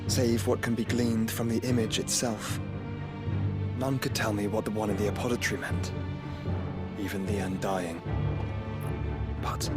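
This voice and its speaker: An actor playing a scholarly young nobleman in a fantasy game, speaking formal archaic lines in a measured, soft, courtly way. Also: fairly low pitch, lightly acted.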